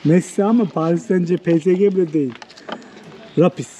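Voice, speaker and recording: A man's voice: high-pitched, brisk, mellow, low-quality recording. A man chatting casually and enthusiastically to viewers as a travel vlogger walking outdoors. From Turkey. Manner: informal, enthusiastic, conversational. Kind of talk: casual chat to camera while walking around. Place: walking around an old town outdoors. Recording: clear background noise, outdoor street ambience.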